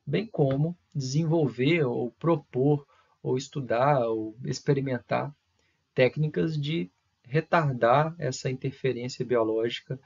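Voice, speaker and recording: Guy from lecturing, keeps restarting and going "né?", kinda husky, muffled lo-fi sound. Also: mid-range voice, a little slow. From Brazil. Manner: hesitant, many fillers and restarts, frequent tag questions. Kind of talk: recorded university lecture.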